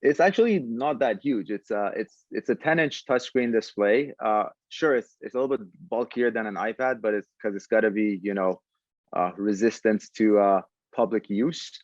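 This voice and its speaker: A man giving conversational answers as a podcast guest, speaking in a halting way with frequent pauses. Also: fairly low pitch, no echo, lo-fi sound. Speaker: podcast guest, tech entrepreneur.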